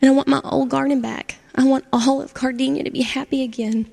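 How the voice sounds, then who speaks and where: An earnest, remorseful young boy from a children's story, as a woman reads him. He talks in a pleading, heartfelt way, with a slight fry in the voice. The voice carries a long reverb, like in a large hall. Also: sits high, little pitch movement, fairly quick, warm tone, pitched above the performer's own voice. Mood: sad pleading.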